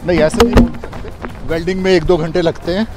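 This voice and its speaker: A man's voice: fairly high pitch, steady tone, brisk, music in the background. A man giving impromptu answers to reporters, explaining matter-of-factly and repeating himself. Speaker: rescue operation official.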